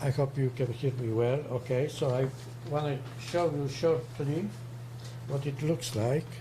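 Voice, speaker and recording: A man in his seventies from Poland with a strong Polish accent, speaking haltingly on a casual livestream as co-host. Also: mid-range voice, fairly even intonation, background noise. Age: 70s. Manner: halting, searching for English words.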